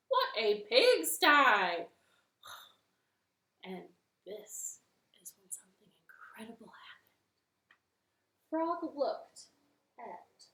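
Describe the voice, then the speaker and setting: A woman library storyteller reading a children's story at home, animated, with playful asides to her listeners. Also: medium pitch, reverberant. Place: living room at home.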